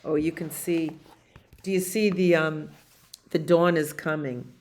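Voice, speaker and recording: A woman in her sixties doing an expressive children's storybook read-aloud, with dramatic pauses and emphasis. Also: sits low. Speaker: library storytime reader. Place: small library community room, indoors.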